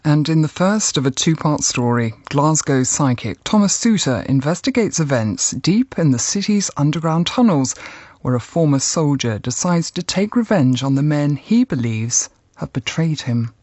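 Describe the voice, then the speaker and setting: A woman's voice: sits low, animated, normal speed, a little mellow, no echo, highs cut off. Woman continuity announcer, dry studio sound. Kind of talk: scripted programme introduction.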